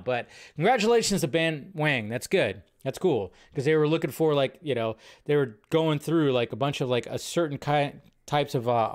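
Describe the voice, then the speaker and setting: A man with a slightly high voice hosts a casual live entertainment show. He rambles conversationally and thinks out loud, circling back over his own phrases as he goes.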